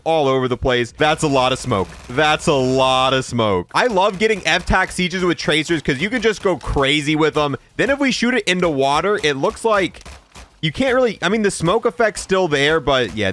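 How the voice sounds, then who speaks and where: A man's voice: a little high, a bit bright, a little hiss or hum. A man giving casual, informal video game review commentary, thinking aloud as he goes. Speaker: gaming video host and reviewer.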